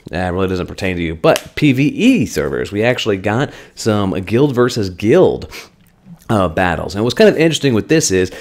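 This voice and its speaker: A man hosting a weekly game news update in a chatty, conversational way, his voice slightly hoarse. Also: on the low side, darkish tone. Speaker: gaming channel host.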